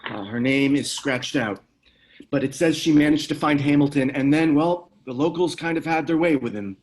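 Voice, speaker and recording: A man playing an earnest, uneasy researcher of dark lore in a screenplay table read, explaining things hesitantly. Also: fairly high pitch, normal speed, close to an everyday voice. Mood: hesitant, grave.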